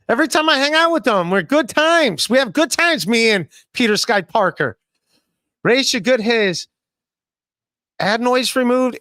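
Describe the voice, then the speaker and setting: A man's voice: very high-pitched, pushed and bright, no echo. A man in his forties hosting a casual podcast from home on a studio mic, reading chat messages and joking about himself. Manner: self-deprecating, reads chat messages aloud. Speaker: podcast host. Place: home room, indoors. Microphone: studio mic with headphones, video call.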